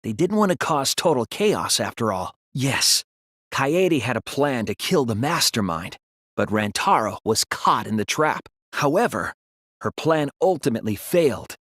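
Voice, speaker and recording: A man voicing an earnest, analytical teenage boy detective in an English video game dub, speaking in a deliberate, resolute way. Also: mid-range voice, very animated, a bit bright, dry recording, studio quality. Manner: deliberate, earnest, explanatory delivery.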